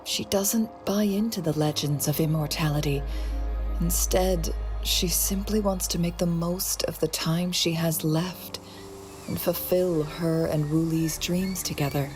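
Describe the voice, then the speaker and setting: A shrewd, mysterious young woman strategist from a video game, played by an actress. Her voice sits a little low and moves very slowly, with elegant composure. Prominent music plays behind her. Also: fairly even intonation, lightly acted. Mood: calm, contemplative. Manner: measured, composed, deliberate, elegant.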